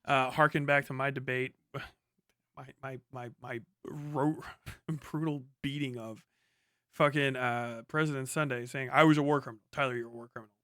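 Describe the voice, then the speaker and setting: Male streamer, bright forceful tone, clean crisp voice, blunt slangy reaction commentary with plenty of swearing. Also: medium pitch, fairly even intonation, normal speed, no echo, clean studio sound. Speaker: livestream host reacting to a debate. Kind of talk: casual, profane live commentary.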